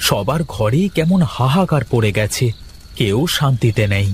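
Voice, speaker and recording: A man's voice: mid-range voice, expressive, normal speed, slight background noise, natural voice. A man voicing a worried, grumbling village husband in a cartoon, with a grave, admonishing manner. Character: worried, grumbling village husband lecturing his wife on thrift. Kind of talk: Bengali cartoon dubbing.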